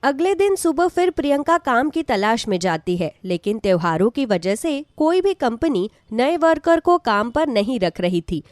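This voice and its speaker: A woman's voice: on the high side, sing-song, moderate pace, hardly any pauses, darkish tone, no room sound. A woman narrating a scripted devotional story as a storyteller.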